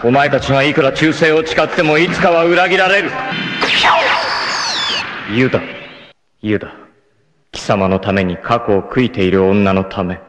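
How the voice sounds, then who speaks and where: A man voicing a proud, grim, long-haired male martial artist in a 1980s anime, speaking intensely and often bursting into shouts. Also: mid-range voice, normal speed, pauses often, soft background music, close to an everyday voice. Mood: vengeful. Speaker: voice actor.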